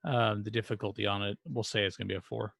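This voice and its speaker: A man's voice: lowish voice, level tone, quick pace, creaky, a bit bright, no echo, studio quality. A man acting as game master, casually explaining rules and improvising narration for a tabletop game.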